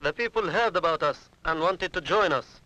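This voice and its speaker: A man's voice: on the high side, normal speed, sharp tone, poor audio. A man giving scripted first-person political testimony as narration on a 1970s documentary soundtrack. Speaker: Palestinian militant narrator.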